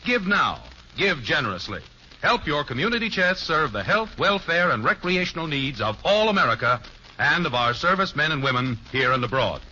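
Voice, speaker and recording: A man's voice: a little high, very animated, moderate pace, bright, forceful tone, slight background noise. A man reading scripted narration as the announcer of an old radio show. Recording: dull band-limited old radio recording. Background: music.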